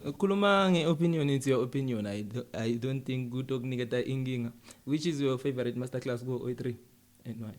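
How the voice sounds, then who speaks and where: A South African man with a medium-pitched voice hosts an informal interview. He moves freely between languages while putting his questions. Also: a little fast. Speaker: interviewer, podcast host. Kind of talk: casual interview.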